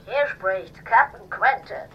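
An actor playing a ship captain making a formal announcement over a filtered loudspeaker in a radio play. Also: medium pitch, slow pace, recorded from a distance, highs cut off. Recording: band-limited, noisy ship loudspeaker.

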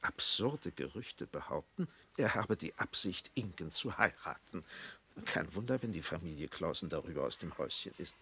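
An actor playing a solemn, probing old village pastor in a radio play, with a gravelly, husky voice and a measured, formal, unctuous manner. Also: male voice, deep voice, animated, sharp tone, a little hiss or hum, heavily acted. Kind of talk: German radio play acting.

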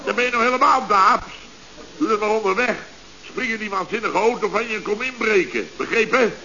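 A man's voice: sits high, fairly quick, pushed and bright, full character voice. An actor playing a bumbling, self-important male contractor boss in a radio comedy, stammering and repeating himself as he gives orders. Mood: bossy, flustered. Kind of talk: 1970s Dutch radio comedy serial acting. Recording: dull, noisy vintage radio recording.

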